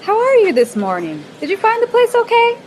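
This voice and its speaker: An actress playing a bubbly, bossy office manager in a radio play, talking in a chirpy sing-song way. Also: female voice, very high-pitched, huge pitch swings, a little fast, noisy background, heavily acted. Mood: upbeat.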